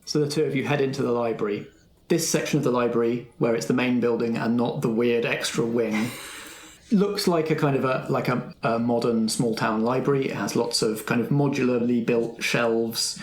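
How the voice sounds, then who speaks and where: A man's voice: medium pitch, steady tone, normal speed. A man acting as game master, narrating scenes and prompting players in a tabletop roleplay. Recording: echoey room, faint background noise.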